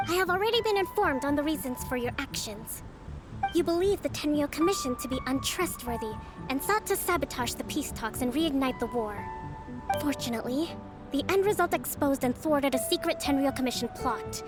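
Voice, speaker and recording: An actress voicing a calm, shrewd young female strategist in a video game, soft-spoken and measured. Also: sits high. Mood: reproachful. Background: game music.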